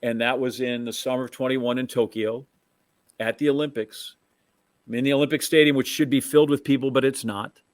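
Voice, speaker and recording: An American man with a medium-pitched voice, recorded dry and close. He chats casually about sports as a co-host. His storytelling is conversational and halting, often doubling back on itself. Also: fairly even intonation, dry recording.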